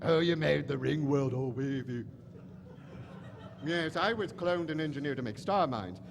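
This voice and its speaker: Casting: eccentric alien genius villain, high-pitched and gleefully disdainful, big theatrical stage delivery in a reverberant hall. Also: male voice, a little slow, gravelly. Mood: haughty, exasperated. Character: eccentric, flamboyant alien mad professor, gleefully callous toward humans. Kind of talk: live radio-play stage acting. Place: large hall stage at a convention. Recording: echoey hall stage recording, faint noise.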